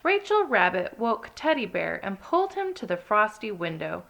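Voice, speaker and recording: A woman narrating a children's read-along story with expressive, dramatic emphasis. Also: mid-range voice, moderate pace, gravelly.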